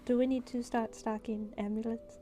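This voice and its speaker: A woman's voice: fairly high pitch, level tone, moderate pace, mellow. Woman running a casual tabletop game. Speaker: game master.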